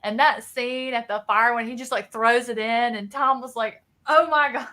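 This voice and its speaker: A woman's voice: a little high, normal speed. A woman co-hosting a casual book chat, telling scenes animatedly and acting out characters' reactions. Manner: animated storytelling, acts out reactions. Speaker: co-host of a book readalong discussion.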